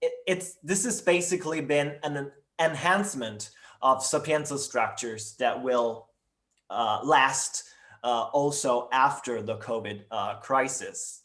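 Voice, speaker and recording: A man presenting university admissions information in a webinar over a video call, speaking in a measured way with frequent ums and uhs. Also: mid-range voice, a bit bright.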